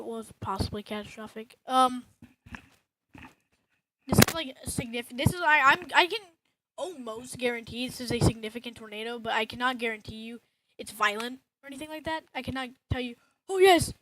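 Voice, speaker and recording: A teenage boy with a raspy voice, talking through a voice changer that pitches him up, giving excitable, rambling storm commentary with many restarts. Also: fairly quick, low-quality recording. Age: teen.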